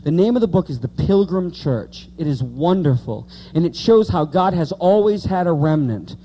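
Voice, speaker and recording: Guy preaching an informal sermon, pretty high mellow voice, repeats stuff to drive it home, chatty with the congregation. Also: animated, moderate pace, slight background noise, low-quality recording. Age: late 30s. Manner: emphatic, repeats key words, conversational asides to congregation.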